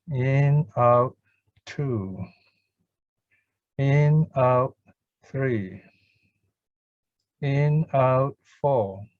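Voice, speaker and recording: Slow, measured man leading meditation. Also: medium pitch, dry recording. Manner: gentle, measured, pausing between short phrases. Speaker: Buddhist teacher leading puja and guided meditation. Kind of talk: Pali chanting and guided meditation instruction. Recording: dull, lo-fi online call sound.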